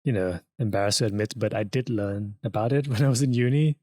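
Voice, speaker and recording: A man's voice: lowish voice, fast talker, darkish tone, dry recording, studio quality. A man co-hosting a podcast, chatting casually and informally. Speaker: podcast co-host and audio editor. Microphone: close podcast microphone.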